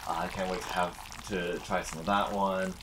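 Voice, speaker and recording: A woman's voice: very deep voice, level tone, normal speed, noisy background. A woman acting as game master, mixing casual banter with narration for a tabletop game.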